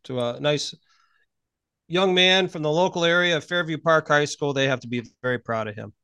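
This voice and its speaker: A man's voice: on the high side, moderate pace, pushed and bright, clear, resonant tone, no echo. An American man hosting a local radio show, presenting upbeat news and interviews.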